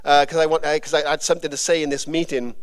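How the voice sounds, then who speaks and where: A man's voice: a little high, flat delivery, fast. A Welsh man giving a conversational sermon with a personal testimony, rambling and informal with frequent fillers and restarts. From Wales. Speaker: preacher.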